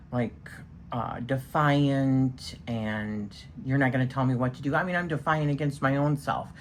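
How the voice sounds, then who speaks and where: Very low-voiced chatty woman reading tarot. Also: slight background noise. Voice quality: strong voice. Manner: chatty, rambling with many fillers. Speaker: tarot reader. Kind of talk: casual spoken tarot session.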